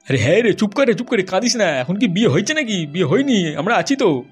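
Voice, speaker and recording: Man voicing a fast, high-voiced scheming cartoon buddy. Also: very animated, breathy edge, soft background music, full character voice. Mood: reassuring. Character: bossy, loyal young male friend, brash schemer. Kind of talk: comedy cartoon dialogue.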